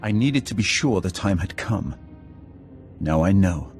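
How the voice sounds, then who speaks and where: Very deep, solemn ancient Sith lord. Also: male voice, expressive, soft background music. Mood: solemn, earnest. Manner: measured, formal, portentous. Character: solemn centuries-old Sith lord, calm defector with a vision.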